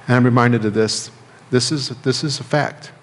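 Man, American accent, middle-aged, pastor's sermon, deliberate phrasing, long room reverb. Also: medium pitch. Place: church sanctuary, indoors at a lectern. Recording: reverberant church hall.

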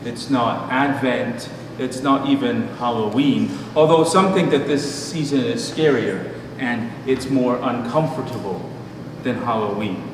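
Distant male preacher, middle-aged, measured sermon delivery, heavy echo, clear background noise. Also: medium pitch. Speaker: pastor. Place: church room at a pulpit. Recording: distant mic, echoey hall, noisy background.

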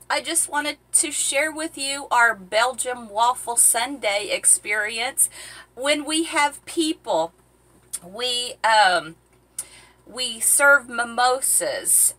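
A woman's voice: fairly high pitch, sharp tone. A middle-aged woman chatting casually while she cooks in a small boat cabin, rambling with lots of ums and uhs, filmed by a camera a few feet away. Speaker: vlogger cooking on a boat. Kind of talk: casual how-to chat. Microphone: fixed camera a few feet away.

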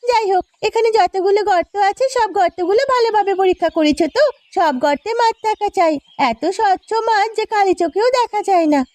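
Village wife for a cartoon female actor: extremely high pitch, earnest, expressive, long-suffering and loyal. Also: a little fast, no room sound. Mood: earnest, hopeful. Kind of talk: cartoon voice acting.

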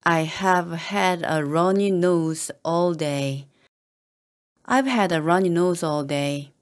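A woman with a slightly low voice reads short sentences at a slow, deliberate pace. She stays calm and even, saying each line the same way again and again. Every word comes out carefully for learners to copy. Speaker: English teacher reading model sentences.

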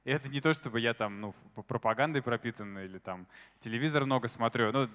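A man's voice: mid-range voice, fast talker, a bit bright. Man speaking on stage in a reverberant hall. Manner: conversational, filler-heavy, asks the audience questions. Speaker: conference speaker. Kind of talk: live stage talk to an audience. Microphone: handheld microphone.

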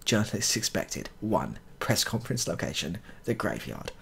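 Male gaming creator, casual and mock-exasperated, animated intonation with a gravelly edge, muttered repetitions. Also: fairly low pitch, moderate pace, a little hiss or hum. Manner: mock-exasperated, muttering, mild swearing, repeats phrases. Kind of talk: casual joking game playthrough commentary.

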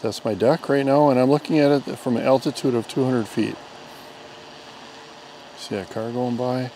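An American man in his fifties talks through a product demonstration in a conversational, explanatory way. His voice sits at an average pitch and his delivery is plainspoken. He is recorded outdoors, with light background noise. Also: steady tone, normal speed, dark tone, rough recording. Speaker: product reviewer and drone pilot. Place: outdoors on an open lawn.

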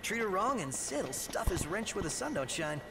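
An actor playing a chirpy, cheeky, excitable young male sidekick in a video game. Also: high-pitched, normal speed, echoey room, crowd noise. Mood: teasing. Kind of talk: scripted game dialogue. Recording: game audio under loud music.

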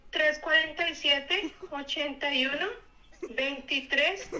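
A woman's voice: high-pitched, fairly even intonation, slow pace, a loud crowd. A woman on a speakerphone call, answering compliantly and deferentially and repeating details back. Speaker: prank call victim. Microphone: phone speaker on table, re-recorded. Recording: echoey speakerphone call in a room.

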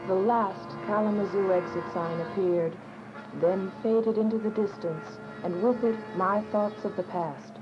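Actress doing devout anxious woman telling her story in a radio drama, muffled sound, loud music. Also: medium pitch, fairly even intonation, measured pace, lightly acted. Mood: solemn, reflective. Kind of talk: radio drama narration and dialogue.

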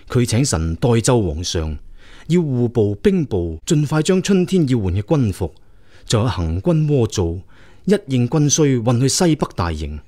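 A man's voice: medium pitch, mellow. A man playing a loyal prince, the emperor's younger brother, advising him earnestly and deferentially in a radio drama. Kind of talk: Cantonese radio drama acting.

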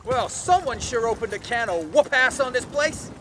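An actor playing a sarcastic, anxious young soldier who wisecracks through a video game. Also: male voice, very high voice, animated, normal speed. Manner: sarcastic, wry. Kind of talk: video game character dialogue. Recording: noisy battlefield ambience and vehicles. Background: battle ambience, vehicles.

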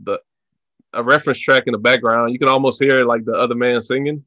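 A man in his thirties hosting a casual video-call interview from a small home room, talking haltingly and repeating words. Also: medium pitch, steady tone, bright, forceful tone, no echo. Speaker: podcast host. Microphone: headset on a video call.

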